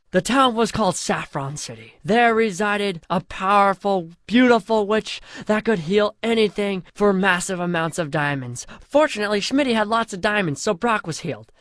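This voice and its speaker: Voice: medium pitch, a bit bright, no room sound, clean studio sound. A storyteller reading a scripted comic fantasy parody in a mock-epic way, drawing out the chapter headings.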